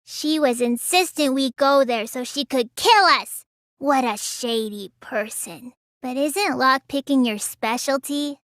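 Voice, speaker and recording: A woman's voice: high voice, unhurried, a bit bright, dry recording, studio quality. A woman voicing a petite, stubborn teenage girl magician in an English anime game, with a languid, childlike, slightly pouty delivery. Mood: deadpan, matter-of-fact.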